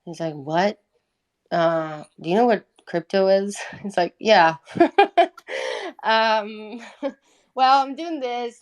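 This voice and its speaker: A woman from Italy, a native Italian speaker, telling a story casually as an interview guest and acting out quoted dialogue. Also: medium pitch. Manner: informal, acts out quoted dialogue. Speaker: guest, independent musician.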